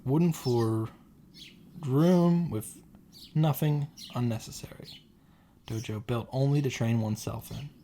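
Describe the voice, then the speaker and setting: A man reading a game script aloud and reacting off the cuff, halting and sometimes correcting himself as he goes. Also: medium pitch, warm tone, a little hiss or hum. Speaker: streamer reading and reacting to a visual novel. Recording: music playing behind the voice. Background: clear background music.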